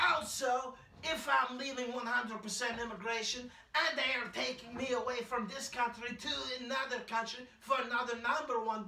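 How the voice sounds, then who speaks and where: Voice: medium pitch, vocal fry, bright, forceful tone, full character voice. A performer voicing a boastful, nervous male immigrant poet who talks in broken English and preaches in blunt, repetitive lines, in a prerecorded comedy bit. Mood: preaching. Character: boastful male immigrant poet named Sergio, anxious about deportation. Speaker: comedy character reciting poems. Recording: echoey, distant, music underneath.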